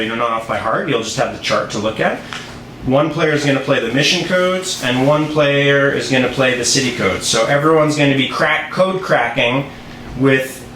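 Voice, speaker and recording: Guy doing a casual board game tutorial, takes his time, pauses mid sentence, roomy echo w a bit of noise behind. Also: medium pitch, a bit bright. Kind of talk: casual instructional walkthrough.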